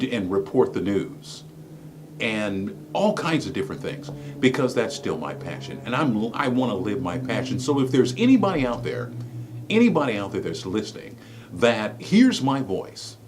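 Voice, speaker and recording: Middle-aged male ex-broadcaster: low, smooth voice, highly varied intonation, earnest and emphatic personal appeal. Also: big pitch swings, measured pace, slight background noise. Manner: earnest, emphatic, repeats phrases for effect. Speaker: former radio broadcaster. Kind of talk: personal story and plea to camera.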